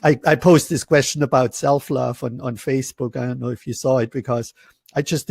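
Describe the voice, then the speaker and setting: A man's voice: medium pitch, a little mellow, dry recording. A man from Germany hosting a webinar over a video call, talking casually in rambling, self-interrupting sentences. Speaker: host.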